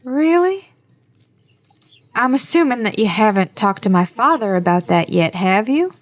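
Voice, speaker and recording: A woman playing a level-headed, guarded young adult daughter in an audio drama, measured and dry in manner. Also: mid-range voice, lively intonation.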